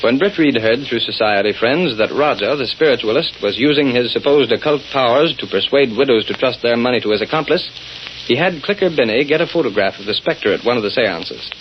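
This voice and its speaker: A man's voice: mid-range voice, expressive, fairly quick. A man announcing and narrating a 1930s-style radio drama in a formal, dramatic manner. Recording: muffled, hissy old radio recording.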